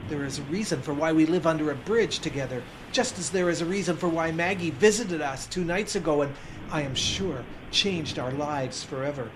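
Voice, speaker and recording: Reflective homeless narrator, played by a man, higher voice, noisy hiss behind. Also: moderate pace, close to an everyday voice. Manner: reflective, earnest storytelling. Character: weary homeless man narrating his story. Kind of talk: first-person drama narration.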